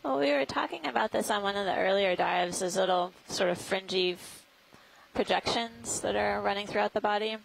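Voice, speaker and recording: A woman scientist giving explanatory live commentary on a deep-sea dive. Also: a little fast, creaky, rough recording. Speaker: expedition scientist narrating dive. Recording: faint background noise.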